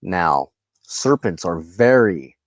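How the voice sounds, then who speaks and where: A man hosting a spiritual show, teaching informally to camera in halting, deliberate phrases with emphatic repeats. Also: deep voice, sing-song, no room sound.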